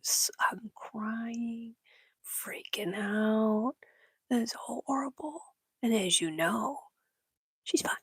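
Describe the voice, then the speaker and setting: A woman from Michigan whispering in casual chat with her livestream viewers, breathy and laughing often. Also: a little high, takes it slowly, fairly bright tone, dry recording. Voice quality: breathy, gravelly. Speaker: livestream host.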